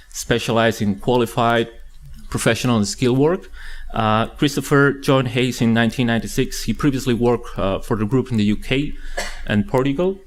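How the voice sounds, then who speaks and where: A man presenting a conference panel, introducing the speakers with frequent hesitations and fillers. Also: mid-range voice. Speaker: event presenter. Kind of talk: event introduction.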